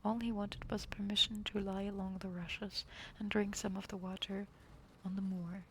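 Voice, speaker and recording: A woman reading a fairy tale aloud in a soft whisper, pausing now and then to apologize. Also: mid-range voice, level tone, slight background noise. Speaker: reader.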